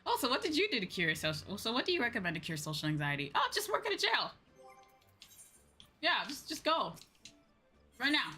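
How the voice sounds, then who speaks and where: A woman's voice: a little high, huge pitch swings, brisk, long pauses, bright, forceful tone. A young woman chatting casually and informally as she livestreams a game, with a giggly manner. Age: young adult. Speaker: streamer. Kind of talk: casual livestream chat while gaming. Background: music.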